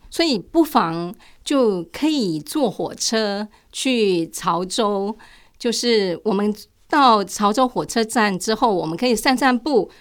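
An older woman with a Taiwanese Mandarin accent giving conversational travel recommendations as a radio co-host. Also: mid-range voice.

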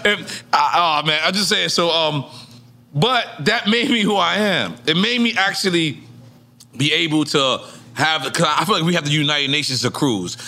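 Animated high-voiced laughing man. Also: moderate pace. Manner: laughing often, animated storytelling. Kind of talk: casual chat.

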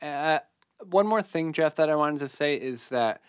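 A man's voice: a little high, expressive, a little slow, clean tone, dry recording, clean studio sound. Rambling mock-serious man, crass jokes. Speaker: podcast host. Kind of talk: improvised comedy podcast chat.

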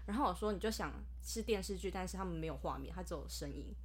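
A woman podcast host chatting casually, sometimes halting as she asks questions. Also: on the low side, lively intonation, husky.

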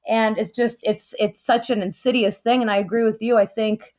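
A woman's voice: fairly high pitch, flat delivery, moderate pace, darkish tone. A middle-aged woman hosting a casual podcast conversation, telling stories and acting out inner thoughts as she goes.

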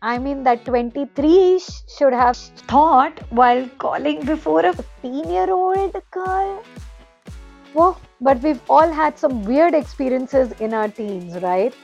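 High-voiced Indian woman hosting over music. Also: slow, vocal fry, darkish tone, lo-fi sound. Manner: chatty, informal, mixes Hindi words into English. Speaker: podcast host. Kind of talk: casual scripted podcast hosting.

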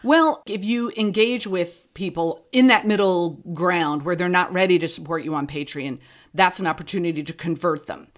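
A woman's voice: lowish voice, normal speed. Woman chatting as a podcast guest. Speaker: interview guest, fiction podcast creator. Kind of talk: casual conversational interview.

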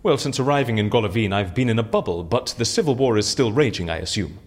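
An actor playing an eccentric, chatty British gentleman fixer in an audio drama, effusively polite and easily flustered. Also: fairly low pitch, lively intonation, fast. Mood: curious.

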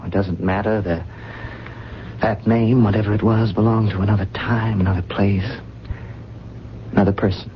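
An actor in a radio drama playing a gentle, detached, wistful man without a past, speaking in a musing, halting way. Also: lowish voice, fairly even intonation, natural voice. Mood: wistful, tender. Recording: dull, noisy 1970s radio recording.

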